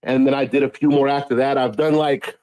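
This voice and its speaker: A man's voice: mid-range voice, steady tone, a little fast, mellow, clean tone, no echo. A man from Guyana, a guest musician, telling stories in a sarcastic, profane, casual way. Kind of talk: casual chat on a live audio show.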